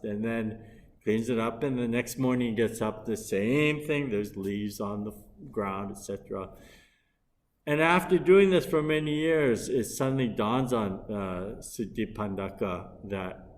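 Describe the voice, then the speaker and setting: A middle-aged man giving a Buddhist dharma lecture as a minister, speaking in an unhurried, hesitant way with frequent pauses and restarts. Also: lowish voice. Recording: long reverb from a hall.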